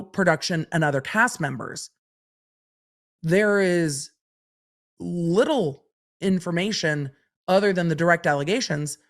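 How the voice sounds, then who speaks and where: Slightly raspy woman explaining legal points. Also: fairly low pitch. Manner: explains statutes point by point, asks and answers her own questions. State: slightly raspy from long days of streaming. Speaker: legal commentator and podcast host. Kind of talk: solo legal podcast commentary.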